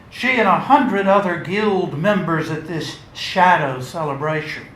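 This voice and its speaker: A man giving a measured, theatrical and wry reading of literary fiction at a live event. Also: fairly high pitch, a bit bright. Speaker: author reading aloud. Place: indoor launch event before an audience. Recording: roomy live event, faint noise.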